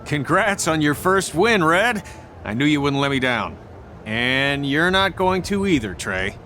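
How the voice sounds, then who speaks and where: A man's voice: on the high side, animated, measured pace, bright, forceful tone, slight background noise. A man playing a self-assured veteran wrestler and blunt mentor in a video game cutscene, with a dry delivery. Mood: dry, commanding.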